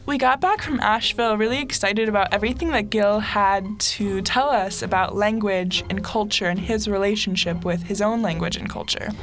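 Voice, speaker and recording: A woman's voice: medium pitch, moderate pace, few pauses, a bit bright. A woman co-hosting a podcast, narrating and reflecting on what the hosts learned from their interviews. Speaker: podcast co-host.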